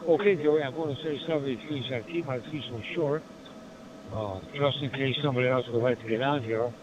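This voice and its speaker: Polite ham signing off. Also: male voice, mid-range voice, a little fast. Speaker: radio amateur. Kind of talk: ham radio contact. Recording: muffled noisy radio audio.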